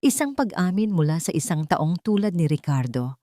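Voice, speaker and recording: A woman's voice: on the low side, sing-song, normal speed, mellow, dry recording, studio quality. A woman reading a scripted love story as a dramatic narrator.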